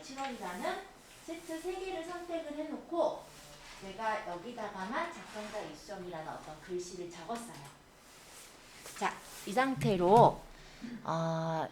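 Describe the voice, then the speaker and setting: A woman instructor giving an informal step-by-step software tutorial, explaining things and often checking that learners follow. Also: medium pitch, a little hiss or hum. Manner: explanatory, often checks understanding with tag questions. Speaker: computer skills instructor.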